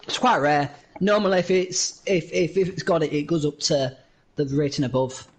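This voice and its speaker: A British man co-streaming a game, talking in a relaxed way with stammering restarts. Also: fairly high pitch, moderate pace, husky. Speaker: co-streamer guest. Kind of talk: casual gaming stream chat.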